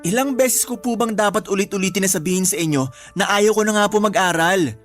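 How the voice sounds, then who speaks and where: A man's voice: high voice, quick pace, soft background music, natural voice. A man playing a sullen, stubborn twenty-year-old son who argues back in a radio drama. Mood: sullen, irritated. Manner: whiny, argumentative.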